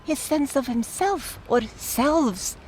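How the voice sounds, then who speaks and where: A woman's voice: high-pitched, expressive, takes it slowly, a little mellow, slight background noise, low-quality recording. A woman playing a fond, opinionated, wry older aunt in mourning, in radio play dialogue. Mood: wry, nostalgic.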